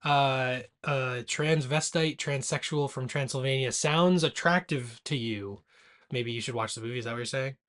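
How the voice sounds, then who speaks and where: A man's voice: medium pitch, moderate pace, fairly bright tone. A man co-hosting a podcast, chatting casually about movies in a dry, teasing way. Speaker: podcast co-host.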